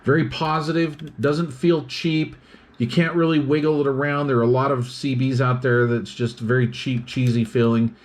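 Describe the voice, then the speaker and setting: A man's voice: medium pitch, flat delivery, moderate pace. A man giving a casual hands-on product review, explaining things step by step.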